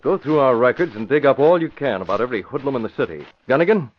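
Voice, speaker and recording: An actor playing a decisive young newspaper publisher in an old radio crime drama, briskly giving urgent orders with authority. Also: male voice, mid-range voice, expressive, natural voice. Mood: brisk, commanding. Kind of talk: 1940s radio acting. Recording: muffled, noisy vintage radio broadcast.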